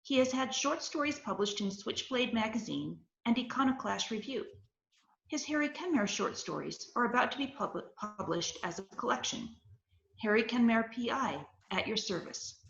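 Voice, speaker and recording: A woman's voice: a little high, expressive, moderate pace, vocal fry. A woman co-hosting a reading event, chatting casually and reading out an author introduction over a video call. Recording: echoey, muffled video-call audio.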